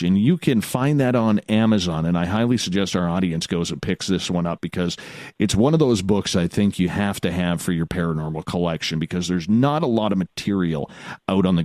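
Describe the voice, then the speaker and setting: A man's voice: low-pitched, darkish tone, no room sound, clean studio sound. A man hosting a late-night radio show, chatting casually and joking over word mix-ups.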